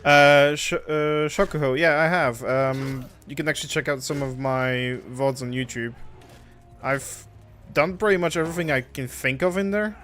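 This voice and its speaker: Relaxed Polish-accented man chatting on stream. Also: fairly high pitch, faint music behind. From Poland. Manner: relaxed, halting, frequent restarts. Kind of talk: casual live-stream chat while gaming.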